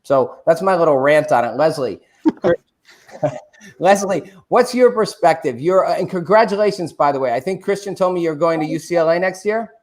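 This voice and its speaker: A middle-aged man hosting a live online show from a home studio, chatty and animated, with loose fillers. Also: on the high side, normal speed. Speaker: host. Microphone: desk studio mic.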